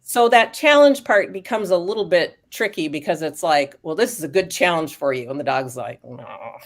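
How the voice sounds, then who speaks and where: A woman with a low, gravelly voice talks through research on aging dogs for the guest spot. She explains things in a relaxed, conversational way, pausing and restarting as she gathers her thoughts. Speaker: guest expert on canine cognitive decline.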